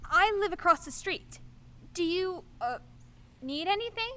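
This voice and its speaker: Nervous eager neighbor lady, woman acting, high bright voice, stumbly. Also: lively intonation, heavily acted. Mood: awkward. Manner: halting, nervous with fillers. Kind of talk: radio play acting.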